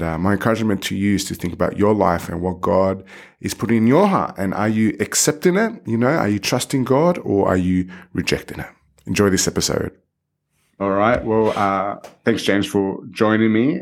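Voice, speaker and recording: Low-voiced male podcast host. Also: gravelly. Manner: relaxed, conversational, many fillers. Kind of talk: casual interview hosting.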